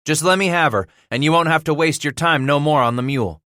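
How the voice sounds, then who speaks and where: Man playing an earnest frontier trapper, markedly higher-pitched. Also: fast talker, pushed and bright, no room sound, clean studio sound. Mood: stubborn, desperate. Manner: pleading, rustic frontier dialect.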